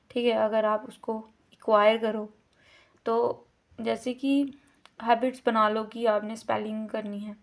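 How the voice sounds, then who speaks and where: A woman with an Indian accent giving a slow, deliberate lecture, mixing English terms into her talk and repeating words to show how they are pronounced. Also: fairly high pitch, gravelly. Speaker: teacher. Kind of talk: lecture explaining a course unit.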